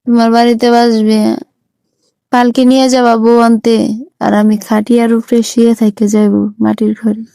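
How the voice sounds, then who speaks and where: Heartbroken young Bangladeshi sweetheart played by a woman, reproachful, mellow, very dry close sound, phone-call drama. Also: fairly high pitch, level tone, moderate pace, gravelly, smooth, no room sound. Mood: plaintive, despairing. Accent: Bangladeshi Bengali. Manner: reproachful, plaintive.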